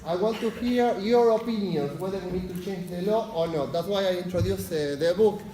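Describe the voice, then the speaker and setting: Man, Argentine Spanish accent, university lecturer, fast-talking, high voice, echoey lecture hall. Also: warm tone. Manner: fast-talking, frequent "yes?" check-ins, filler "uh". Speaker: university lecturer, unit leader. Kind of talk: lecture introduction. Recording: echoey lecture hall, faint background noise.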